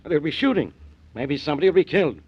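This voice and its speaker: A man's voice: fairly high pitch, sing-song, rapid-fire, husky. A man playing an urgent, insistent police inspector in a 1940s radio crime drama. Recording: dull vintage radio recording.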